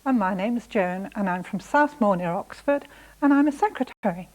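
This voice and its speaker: A woman's voice: mid-range voice, expressive, normal speed, poor audio. A woman contestant on a game show, giving brief, slightly hesitant answers.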